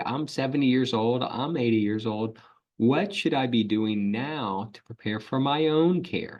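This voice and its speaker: A man with a lowish voice walks listeners through legal planning in a patient, explanatory webinar talk. He clears his throat now and then and fills pauses with ums. The audio sounds dull and lo-fi. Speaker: elder law attorney. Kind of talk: webinar presentation.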